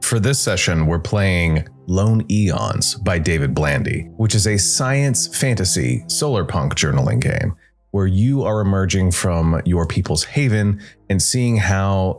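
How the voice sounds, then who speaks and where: A man with a low, deep voice narrates a scripted tale as a podcast host. His delivery is measured and deliberate, shaped like careful storytelling. Faint music plays underneath him. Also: expressive.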